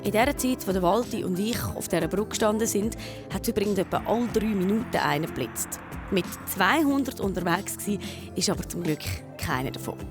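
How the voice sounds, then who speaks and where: Swiss female reporter, scripted kids' documentary voice-over, mid pitch, music clearly underneath. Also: animated, brisk. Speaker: children's TV reporter. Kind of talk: scripted narration for children's documentary.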